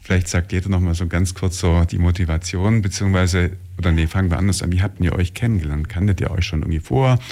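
A man's voice: deep voice, quick pace, few pauses, mellow. A man interviewing a group, asking loosely worded questions that he rephrases as he talks. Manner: rambling, rephrases his questions.

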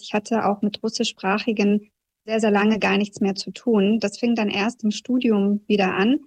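A woman in her thirties, a journalist from Kazakhstan who grew up in southwest Germany, giving a reflective, measured personal account in an interview. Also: medium pitch, a little mellow. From Kazakhstan, raised in southwest Germany.

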